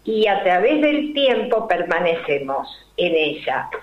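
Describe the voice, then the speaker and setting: An older woman with a Rioplatense Spanish accent, a numerology guest explaining her ideas slowly and deliberately, full of fillers and rhetorical questions. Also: on the low side, sing-song. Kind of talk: explanatory interview talk. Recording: roomy, dull lo-fi recording.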